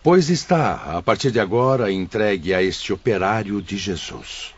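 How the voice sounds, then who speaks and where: A man's voice: on the low side, expressive, normal speed, slightly rough. A Brazilian man playing a solemn, benevolent spirit mentor in an audio drama, speaking in a formal, paternal way. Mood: warm, reassuring. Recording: dull, muffled lo-fi audio.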